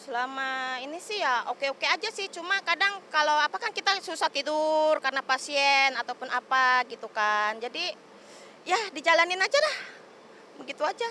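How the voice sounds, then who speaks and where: A woman with a high, bright voice talks casually in a street interview, chatty and easygoing. Her sentences often trail off quietly, with faint outdoor noise behind her. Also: animated, moderate pace. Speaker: migrant worker, caregiver.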